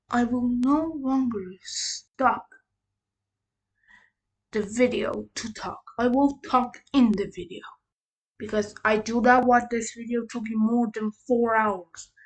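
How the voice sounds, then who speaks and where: A woman doing casual reaction commentary as a video host, halting and slangy in her delivery. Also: a little high, steady tone, rough recording.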